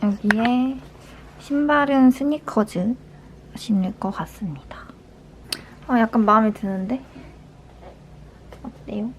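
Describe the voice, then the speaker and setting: A young adult woman from South Korea chats casually as a lifestyle vlogger during her daily routine, soft-spoken and unhurried. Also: a little high. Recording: clear background room noise.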